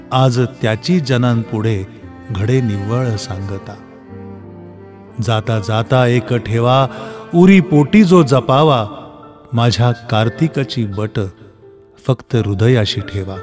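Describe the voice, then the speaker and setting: A man with a mid-pitched voice speaks slowly and solemnly. His delivery is measured and expressive, in the style of a poetry reading. Music plays softly along with his voice. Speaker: reader reciting a poem by a late relative. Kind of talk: solemn poetry recitation with spoken introduction.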